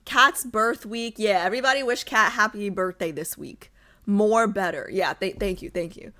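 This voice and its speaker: Bubbly, bright-voiced young woman streamer. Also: mid-range voice, normal speed. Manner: bubbly, chatty, many fillers. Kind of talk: casual chat with live chat.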